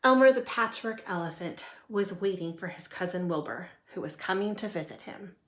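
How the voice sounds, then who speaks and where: A woman's voice: mid-range voice, expressive, normal speed. A woman reading a children's picture book aloud as a storyteller.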